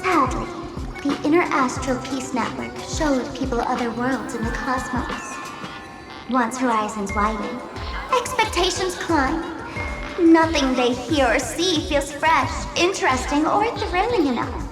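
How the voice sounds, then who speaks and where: A high, girlish game villainess, a smug young idol trickster who savors her words slowly. She is teasing and grandiose, like a performer on stage. Her voice rings in an echoing space with music underneath. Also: expressive. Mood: musing, theatrical. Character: playful, theatrical young woman internet idol, smug trickster. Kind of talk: video game voice acting.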